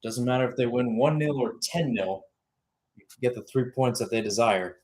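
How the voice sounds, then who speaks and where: A man's voice: medium pitch, normal speed, a little mellow. A young man giving live radio-style soccer commentary, mixing clipped calls of the play with conversational asides. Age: young adult.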